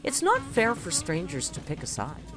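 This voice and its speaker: A woman giving a dramatic poetry reading and commentary, with a theatrical, deliberate, grandiose delivery. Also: on the low side, wildly swooping pitch. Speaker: host reading and critiquing a poem. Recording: music under the voice. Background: background music.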